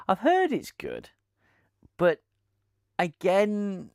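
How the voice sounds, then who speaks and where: A man's voice: sits high, huge pitch swings, extremely slow, creaky, a little mellow, no room sound. Chatty man, dry close sound. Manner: rambling, chatty, frequent restarts and laughter. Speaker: channel host. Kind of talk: casual live-stream chat. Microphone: lavalier mic.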